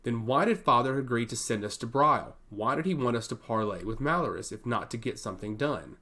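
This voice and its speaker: A man's voice: medium pitch, lively intonation, fairly quick, pushed and bright. A man voicing a proud, diplomatic noble sister in a fantasy audiobook, with haughty, articulate delivery. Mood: indignant, earnest.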